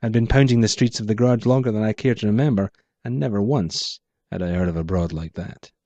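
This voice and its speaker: Male author-narrator, hardboiled noir read, dark and close, lo-fi. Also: fairly low pitch, brisk, no echo. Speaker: author reading his own story. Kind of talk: first-person noir narration.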